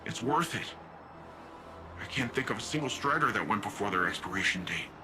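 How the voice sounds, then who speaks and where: An actor playing an earnest, informal sci-fi city defender in a game cutscene, brave and wry but shaken. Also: male voice, on the low side, lively intonation, a little fast, music in the background, natural voice. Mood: grieving, bravely wry. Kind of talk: video game cutscene acting.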